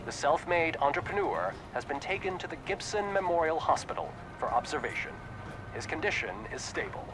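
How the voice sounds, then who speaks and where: A man playing a polished television news anchor in a video game, reading in a crisp broadcast manner. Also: a little high, animated, brisk, over music, close to an everyday voice. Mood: newsy, measured. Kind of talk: scripted newsreading in a video game.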